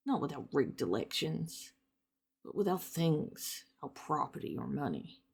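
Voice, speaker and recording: Woman doing a withdrawn hacker guy, low hushed breathy mutter, quick n bitter about society, tv drama monologue. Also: exaggerated voice. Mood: bitter, numb. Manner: flat, quick muttered delivery. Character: disillusioned, withdrawn young hacker man, bitterly anti-society.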